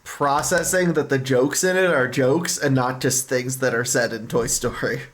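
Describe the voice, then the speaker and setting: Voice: medium pitch, fairly even intonation, normal speed. A streamer chatting casually in a rambling way over a game, telling movie anecdotes. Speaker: streamer reading a game's dialogue aloud. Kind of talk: casual chat while playing a game. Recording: music behind the voice. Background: music.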